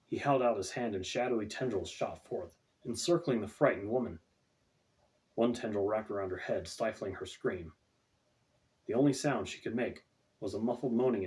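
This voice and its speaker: A man's voice: lowish voice, darkish tone. A man reading his own fantasy novel as scripted audiobook narration, recorded away from the microphone.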